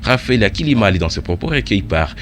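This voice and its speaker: Low-voiced male news anchor. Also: sing-song, background noise. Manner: measured newsreader delivery. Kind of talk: read radio news bulletin.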